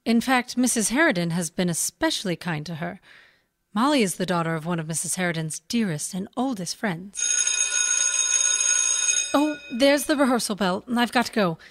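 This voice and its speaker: Woman acting worried theatre actress, medium pitch, dry studio, audio drama. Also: lively intonation, normal speed, no echo, natural voice. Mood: concerned. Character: earnest, concerned theatre actress.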